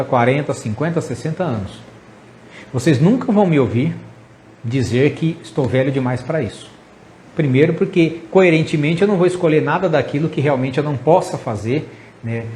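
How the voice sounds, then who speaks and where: A man in his fifties talks straight to camera, earnest and encouraging, stacking up lists and asking the listener rhetorical questions. His voice sits a bit high for a man. A faint hiss runs under the recording. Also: darkish tone. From Brazil. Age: 50s. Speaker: psychologist host. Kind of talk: motivational talk to camera.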